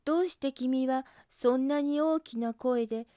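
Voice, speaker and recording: An earnest boy rabbit from a children's storybook, played by a woman. The voice is high and bright-edged in its sincerity, gentle and persuasive, with a brave, caring temper. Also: a little slow, clear, resonant tone, no echo, clean studio sound. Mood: questioning, sincere.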